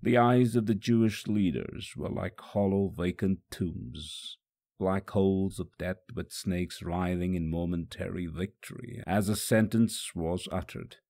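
A man voicing a sorrowful, tender condemned holy man, telling his suffering in a grave, reflective storybook monologue with a gravelly, husky voice. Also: low-pitched, fairly even intonation, unhurried, a little mellow. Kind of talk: solemn first-person dramatic monologue.

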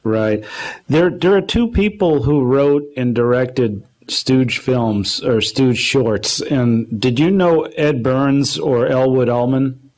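A man's voice: medium pitch, slow, darkish tone, low-quality recording. A man asking questions as an interviewer in a question-and-answer conversation.

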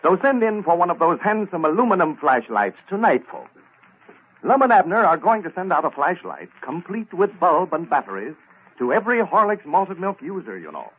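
An American man working as a radio announcer, delivering a sponsor's pitch in 1930s advertising style. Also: very animated, moderate pace, husky. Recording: tinny, band-limited vintage radio recording.

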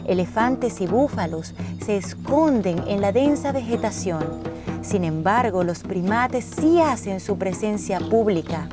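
A woman's voice: mid-range voice, lively intonation, measured pace. Woman narrating over music. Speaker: narrator and traveller. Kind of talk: scripted travel narration.

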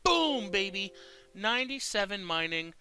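A young man with a congested, stuffy voice, chatting casually and excitably as he comments on his own gameplay. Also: high-pitched, measured pace, clean tone, faint music behind. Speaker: gaming video host.

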